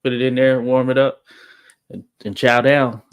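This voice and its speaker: This is a man with a relaxed Southern accent, originally from Arkansas, trading easy banter on a boxing podcast. His voice sits at a middle pitch, and he chuckles often between his lines. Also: moderate pace, clean tone, highs cut off. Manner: laughs often, easygoing. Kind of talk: casual boxing chat.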